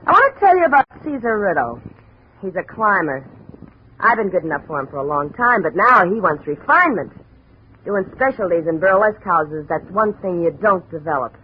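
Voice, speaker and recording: A woman's voice: fairly low pitch, sing-song, brisk. A woman playing a tough, sardonic American burlesque performer with a grudge, in a 1950s radio detective drama. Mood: bitter. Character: brassy, jaded female burlesque performer, bitter scorned girlfriend. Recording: dull, hissy old radio recording.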